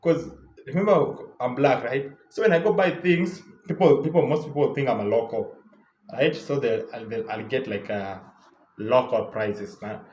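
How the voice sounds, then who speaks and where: Young kenyan man, travel vlogger, rambly and casual, average pitch, faint room hum. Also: normal speed, a little mellow, roomy sound, lo-fi sound. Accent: Kenyan English. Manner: loose and chatty, lots of repeats and fillers. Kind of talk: casual travel advice talk.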